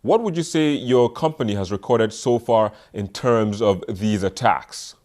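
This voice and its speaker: A man hosting a television interview, putting brisk, direct questions to his guests. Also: on the low side, a little mellow. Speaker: television host.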